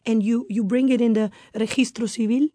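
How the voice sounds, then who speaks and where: Italian-accented woman, warm and earnest. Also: on the high side, steady tone, brisk, no room sound, clean studio sound. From Italy. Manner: earnest, repeats words, strongly believes what she says. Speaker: guest. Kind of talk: radio interview.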